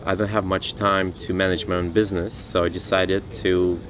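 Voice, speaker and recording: Deep-voiced man from Russia. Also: level tone, moderate pace, crowd noise. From Siberia, Russia. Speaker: mastermind participant. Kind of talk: casual self-introduction.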